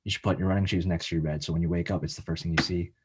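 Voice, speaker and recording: A nineteen-year-old man talking as a guest in a casual interview, halting and earnest, with lots of filler words. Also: low-pitched, fairly even intonation, background noise. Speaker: podcast guest, college student.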